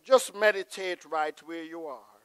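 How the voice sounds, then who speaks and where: A middle-aged pastor preaching a sermon from the pulpit of a large church, swelling to loud emphatic peaks and dropping to a hushed prayerful close. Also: male voice, fairly high pitch, a little slow, clean tone, no room sound. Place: large church sanctuary with choir behind. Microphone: pulpit mic.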